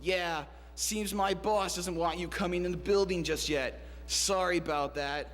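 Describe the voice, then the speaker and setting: A man's voice: high voice, fairly even intonation, unhurried, fairly bright tone. A man playing a breezy, unhelpful slacker doorman in a comic radio play, with casual, nonchalant talk. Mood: laid-back. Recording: echoey hall with music behind.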